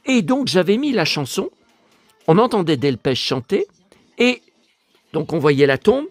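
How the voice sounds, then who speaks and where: A hoarse middle-aged man chatting in a casual vlog, rambling, repeating himself and stammering, with emotional asides. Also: on the high side, big pitch swings, unhurried, dry recording, soft background music. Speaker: YouTuber. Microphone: phone.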